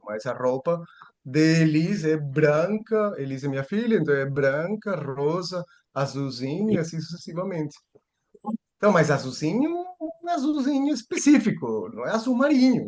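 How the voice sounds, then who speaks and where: A man giving a seminar lecture, thinking aloud with restarts and rhetorical questions. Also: on the high side.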